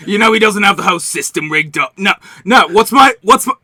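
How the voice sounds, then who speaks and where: A man's voice: fairly high pitch, sing-song, normal speed, sharp tone, no echo, clean studio sound, heavily acted. A man playing a shady, cheeky video-game arms merchant in improvised comedy, rambling and sarcastic. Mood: sarcastic, indignant. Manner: rambling, sarcastic, repeats himself. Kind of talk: improvised in-character comedy interview.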